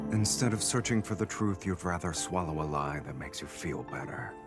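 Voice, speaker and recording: An actor playing a cold, mocking villain boss in a dramatic game, with a smooth, taunting, commanding delivery. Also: male voice, deep voice.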